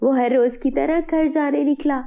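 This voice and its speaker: High-pitched woman narrating a children's story. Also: steady tone, moderate pace, gravelly, dull audio.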